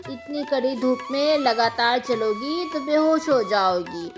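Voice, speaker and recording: A woman acting a slow, kindly old woman. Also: high-pitched. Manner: gentle, coaxing, addressing the listener as child. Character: kind, patient old village woman asking for help. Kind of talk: Hindi cartoon moral story acting. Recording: music behind the voice.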